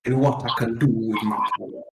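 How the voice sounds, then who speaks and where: A man playing a tabletop roleplaying game, speaking in character in a laid-back, unhurried way, on a roomy mic with faint noise. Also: mid-range voice, steady tone. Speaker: player.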